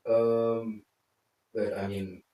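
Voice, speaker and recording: A man's voice: lowish voice, little pitch movement, extremely slow, a crowd in the background. A man from Lebanon, a consultant, chatting casually and rambling with lots of fillers and restarts. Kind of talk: casual conversation. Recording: echoey room, mic far off.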